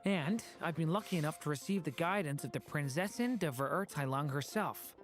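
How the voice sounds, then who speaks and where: An actor voicing a gentle, earnest boy dragon in human form for a video game, soft and youthful. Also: medium pitch, lively intonation, fairly quick, soft background music, close to an everyday voice.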